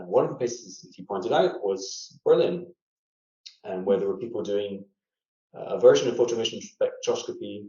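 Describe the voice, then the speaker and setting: Low Scottish man, echoey lo-fi. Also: warm tone. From Scotland. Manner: thoughtful, hesitant with frequent fillers and restarts. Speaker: guest scientist. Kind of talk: casual interview. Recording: echoey room, dull lo-fi audio.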